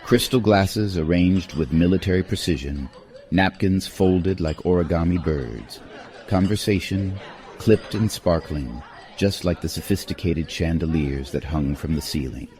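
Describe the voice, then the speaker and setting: Deep, mellow male narrator. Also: unhurried. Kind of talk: scripted literary romance narration. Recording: faint music behind.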